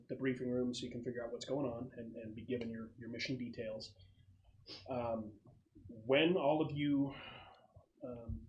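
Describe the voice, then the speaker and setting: A man acting as game master, explaining the setup casually in a halting, thoughtful way. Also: mid-range voice, darkish tone, highs cut off, slight background noise. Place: casual online or home gaming session.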